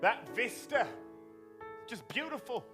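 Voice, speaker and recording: A man's voice: extremely high pitch, animated, slow pace, over music, exaggerated voice. A man playing an enthusiastic, awkward widowed father in improvised comedy. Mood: wistful excitement. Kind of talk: improvised comic stage acting.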